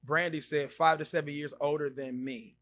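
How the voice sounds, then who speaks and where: A podcast host, a man in his mid-thirties, talks casually with a bright, forceful voice pitched a little high. He reacts with loud exclamations, repeats himself and thinks aloud while reading viewer comments. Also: steady tone, normal speed. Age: 30s. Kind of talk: casual live-stream chat reading comments.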